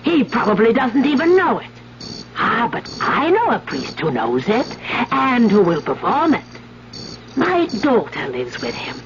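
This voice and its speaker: An actress playing a sinister, commanding older peasant woman in a 1970s radio drama, speaking in a hushed, deliberate, dramatic way. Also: fairly high pitch, wildly swooping pitch, noisy background. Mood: scheming. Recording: dull 1970s radio, music underneath.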